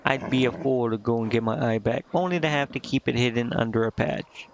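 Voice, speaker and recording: A man's voice: medium pitch, fairly quick, lo-fi sound, lightly acted. A man reading the lines of a brash, shrewd, one-eyed tiefling merchant from a fantasy game, with occasional stumbles. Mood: matter-of-fact, reminiscing. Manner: plain reading of scripted dialogue with occasional stumbles.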